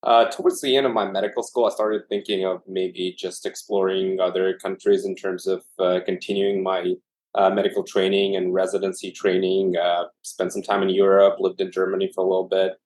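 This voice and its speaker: Low-voiced Russian-born man telling his story. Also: brisk. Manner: relaxed storytelling with frequent fillers. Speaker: anesthesiologist guest. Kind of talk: casual interview conversation.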